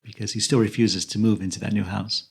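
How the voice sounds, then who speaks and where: A man's voice: fairly low pitch, steady tone, quick pace, gravelly, slightly rough. A man co-hosting a podcast, narrating a recap of a film's plot. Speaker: podcast co-host.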